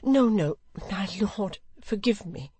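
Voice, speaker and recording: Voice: medium pitch, expressive, unhurried, a little mellow, dry recording, pitched above the performer's own voice. A reader voicing a poor, anxious widow, an earnest and protective mother who pleads. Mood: desperate imploring. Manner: earnest, imploring supplication.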